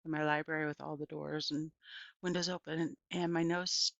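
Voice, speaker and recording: A woman's voice: low voice, level tone, normal speed, vocal fry, no echo, muffled recording. A woman voicing an elderly small-town librarian in a children's storybook, a folksy, emphatic storyteller who repeats herself and turns dramatic and wistful. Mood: dramatic reminiscing. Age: older. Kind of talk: children's storybook read aloud.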